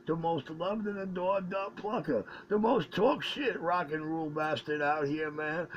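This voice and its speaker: A man on CB radio talking in a drawn-out, preachy hype-man way. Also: medium pitch, lo-fi sound, a little hiss or hum. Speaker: CB radio operator. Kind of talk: CB radio chatter.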